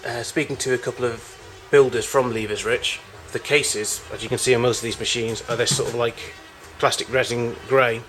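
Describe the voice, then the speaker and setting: A man giving an informal, unscripted show-and-tell as a collector of old machines, in a halting, rambling way with frequent self-corrections. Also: mid-range voice, steady tone, a bit bright, faint music behind. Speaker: collector showing vintage tape recorders.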